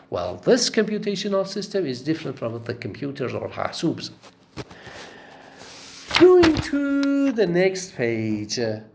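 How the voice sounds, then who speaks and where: Man, linguistics lecturer, slow-paced, lively pitch, repetitive explanations, frequent "okay?". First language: not Arabic. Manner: repeats phrases, checks in with "okay?". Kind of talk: recorded course lecture.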